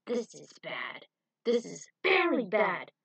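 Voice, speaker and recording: A woman's voice: medium pitch, wildly swooping pitch, slow, vocal fry, a bit bright, slightly rough, exaggerated voice. A woman voicing a stern, angry male army general who barks indignantly in an action story. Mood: grim, alarmed.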